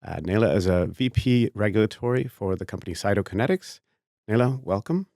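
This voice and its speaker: A man's voice: on the low side, animated, fairly quick, vocal fry, mellow, no room sound, studio quality. A man hosting an interview podcast, asking casual, probing questions. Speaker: podcast host.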